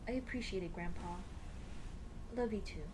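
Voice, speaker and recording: A woman's voice: medium pitch, moderate pace, noisy background, natural voice. A young woman playing a shy, teasing tomboy childhood friend in love, in a casual, hesitant and intimate ASMR roleplay. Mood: embarrassed, fond. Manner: playful, bashful, hesitant, casual dude-talk. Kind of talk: ASMR roleplay acting, intimate girlfriend scene.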